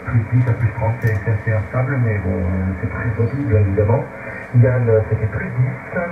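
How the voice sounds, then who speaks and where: A man's voice: mid-range voice, normal speed, roomy sound, far from the mic, narrow, tinny audio, over music. A man who is an amateur radio operator, chatting casually and giving signal reports over shortwave.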